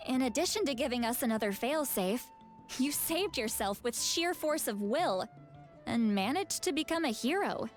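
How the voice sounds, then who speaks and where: High-pitched, feisty, proud game grandmother. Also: animated, moderate pace, soft background music. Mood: stern. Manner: brash, teasing, scolding. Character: feisty, proud grandmother, teasing, gruffly affectionate. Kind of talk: video game voice acting.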